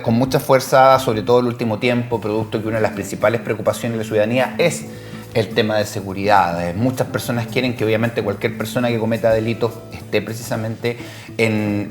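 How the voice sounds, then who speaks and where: A man with a Chilean accent co-hosting a television interview, commenting and asking questions conversationally. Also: lowish voice. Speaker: TV show co-host. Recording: roomy echo, music clearly behind. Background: background music.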